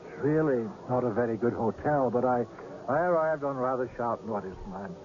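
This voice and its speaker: A man's voice: mid-range voice, a little fast, natural voice. An actor in a radio drama playing a polite, mysterious older man with a genteel, precise, faintly hesitant manner. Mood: courteous. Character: polite, mysterious old scout of seers. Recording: dull, noisy old radio broadcast.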